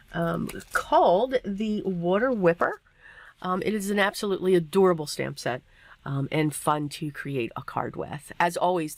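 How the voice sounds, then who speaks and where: A woman with a voice worn hoarse by allergies, clearing her throat now and then as she chats casually through a card-making tutorial voiceover, with plenty of fillers and self-deprecating asides. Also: medium pitch, no echo.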